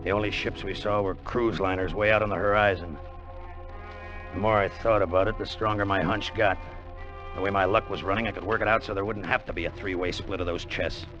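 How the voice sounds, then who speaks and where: An actor playing a scheming, brooding treasure hunter who narrates in a hard-boiled, confiding way in 1950s radio drama style. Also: male voice, low voice, steady tone, a little fast, close to an everyday voice. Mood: brooding, calculating. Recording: dull vintage 1950 radio recording, music behind.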